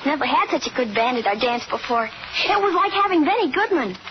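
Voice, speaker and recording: A woman's voice: high-pitched, big pitch swings, fairly quick. A woman playing a shy but eager teenage girl fan in a 1950s radio drama. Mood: shy, admiring. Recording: muffled, noisy old radio recording.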